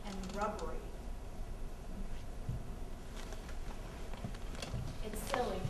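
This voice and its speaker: An actress with an American accent playing a wry, wistful Korean American adoptee in a live stage monologue, speaking dramatically and deliberately with pauses. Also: female voice, a little high, lightly acted. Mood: sad. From United States. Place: live stage performance in a venue. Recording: roomy, noisy, distant stage recording.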